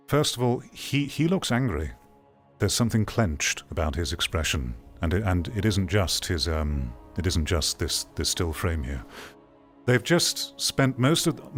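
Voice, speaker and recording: Deep-voiced man giving sardonic commentary. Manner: exasperated, sardonic, rambling. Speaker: streamer reacting to a video. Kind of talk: casual live commentary.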